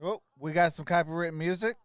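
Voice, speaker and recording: A man's voice: on the high side, brisk, background noise. A man doing casual play-by-play on a livestream, in short, clipped calls of the action. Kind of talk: casual stream commentary.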